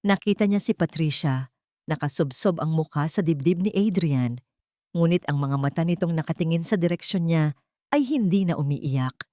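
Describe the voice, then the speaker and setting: A woman storyteller with a fairly low voice tells a romantic drama. Her delivery is emotive and very animated in pitch. The sound is dry and close. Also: moderate pace, no room sound. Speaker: narrator of audio love stories.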